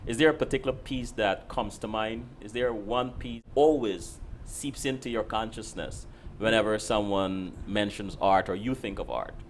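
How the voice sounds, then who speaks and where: Low-voiced man from Guyana, interviewing. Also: normal speed, hardly any pauses, slight background noise. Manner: hesitant starts, playful questioning. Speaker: interviewer, host.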